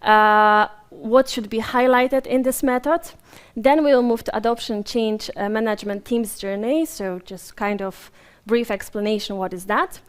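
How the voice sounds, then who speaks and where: Polish woman, conference speaker, higher-pitched, friendly rambling delivery with restarts. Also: measured pace, smooth. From Poland. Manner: chatty and apologetic, with frequent fillers and restarts. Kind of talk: live conference presentation. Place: conference room with a live audience. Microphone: handheld or stage microphone while moving around.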